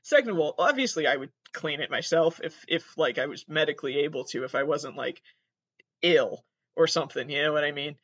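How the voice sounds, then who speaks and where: Male podcast host, North American, notably high voice. Casual, profane, self-mocking solo rambler, recorded dull on a laptop. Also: expressive, fairly quick, a bit bright. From Massachusetts, United States. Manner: self-deprecating, profane, digressive. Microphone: built-in laptop microphone.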